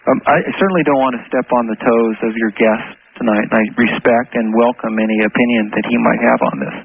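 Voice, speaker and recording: Over a thin telephone line, a man with a creaky, rough voice explains things as a radio guest. He is earnest and halting, often doubling words for emphasis. His pitch is average. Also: fairly even intonation. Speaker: phone-in guest.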